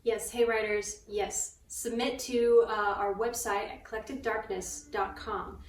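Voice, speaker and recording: A woman co-hosting with casual, playful banter and quick reactions. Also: mid-range voice, fairly even intonation. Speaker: co-host. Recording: echoey room, distant mic, faint noise.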